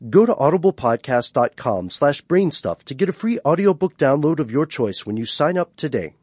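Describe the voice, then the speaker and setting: A man's voice: on the high side, very animated, moderate pace, darkish tone, slight background noise. A man reading a scripted sponsor advertisement as an announcer. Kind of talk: scripted ad read.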